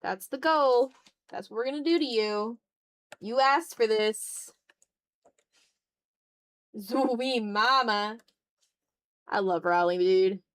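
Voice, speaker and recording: A young adult woman chatting casually on an art livestream, animated and slangy, with a self-deprecating way of talking. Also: a little high, slow, pauses often, clean tone. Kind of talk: casual livestream chat while drawing.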